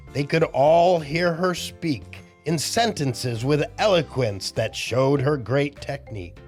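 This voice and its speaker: Animated older man hosting children's storytime. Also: on the high side. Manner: playful, exaggerated, often chuckling at own jokes. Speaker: children's entertainer and storytime host. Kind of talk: animated children's show hosting and read-aloud.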